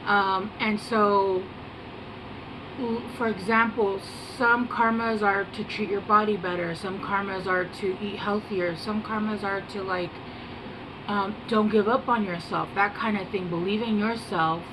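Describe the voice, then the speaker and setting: Soft-spoken woman over an air-conditioner hum. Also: mid-range voice, steady tone, a little slow. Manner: soft-spoken, meandering, hesitant with many fillers. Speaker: tarot reader. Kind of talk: casual rambling tarot reading to camera.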